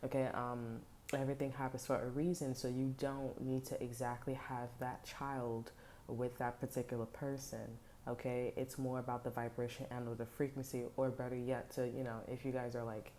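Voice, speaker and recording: A woman giving a tarot reading from home, rambling with many fillers and restarts and speaking low so as not to wake a sleeping child. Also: level tone, moderate pace, mellow, a little hiss or hum. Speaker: tarot reader. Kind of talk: casual spiritual talk to viewers. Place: home at night. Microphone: headphones with mic.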